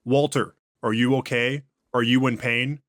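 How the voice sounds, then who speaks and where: A man's voice: medium pitch, fairly even intonation, measured pace, a bit bright, no echo, clean studio sound, close to an everyday voice. A man voicing an earnest male interrogator who questions a prisoner at a secure facility. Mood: alarmed.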